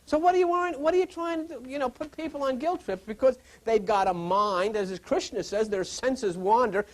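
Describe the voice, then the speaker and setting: A man's voice: very high-pitched, normal speed, low-quality recording. A male pastor giving an informal sermon, reading scripture and pressing listeners with rhetorical questions.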